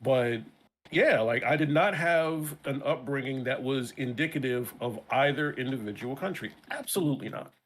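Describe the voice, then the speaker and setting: A man with an American New York accent, a guest in a casual interview chat, talking in an animated way and often restarting his words. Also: mid-range voice, moderate pace. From Brooklyn, New York.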